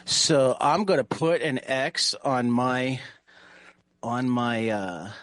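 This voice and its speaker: A man chatting casually as a player in a tabletop game, halting and repeating his words. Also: medium pitch, a bit bright, no room sound.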